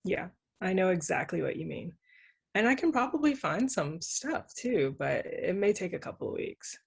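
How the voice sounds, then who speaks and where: A level-headed young female friend acted by a woman in a stage drama, reassuring yet a little hesitant. Her voice is husky and creaky with vocal fry. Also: on the low side, sing-song, normal speed, exaggerated voice. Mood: cautious, supportive. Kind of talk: staged play reading.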